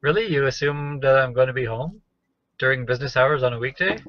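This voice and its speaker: A man's voice: mid-range voice, a little fast. A man chatting casually and wryly as a podcast co-host, telling anecdotes. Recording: dull, lo-fi captured call audio.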